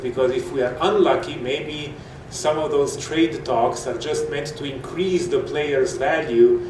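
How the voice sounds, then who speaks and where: A man moderating a panel answers in a loose, conversational way. His middle-pitched voice echoes around the hall from a distance, over a clear layer of noise. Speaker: panel chair. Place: large echoey conference hall.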